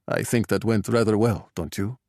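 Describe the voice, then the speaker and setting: A man's voice: fairly low pitch, normal speed, vocal fry, a little mellow, no room sound. A man voicing an understated, dryly witty retired spy and art restorer in an audiobook. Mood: wry. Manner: dry, understated.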